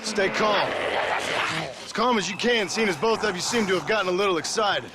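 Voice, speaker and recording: An actor playing a dry, laconic western gunslinger with an American accent in a video game. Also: male voice, high-pitched, fairly quick, background noise, natural voice. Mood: concerned, weary. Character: gruff, dry-witted western gunslinger, family man. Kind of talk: video game cutscene acting.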